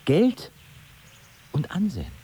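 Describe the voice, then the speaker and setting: An actor playing an enthusiastic, persuasive young student with a casual, slangy way of talking in a radio drama. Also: male voice, high voice, huge pitch swings, slow, mellow, a little hiss or hum, heavily acted. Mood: eager, persuasive. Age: young adult. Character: enthusiastic scheming young student friend turned would-be manager. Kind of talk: naturalistic German radio drama dialogue.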